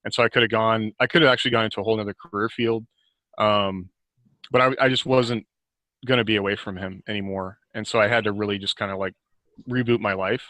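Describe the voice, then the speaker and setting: Low-voiced man, rambling and reflective. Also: steady tone, quick pace, bright, forceful tone, no room sound. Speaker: author and podcast guest. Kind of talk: casual reflective conversation about writing and life.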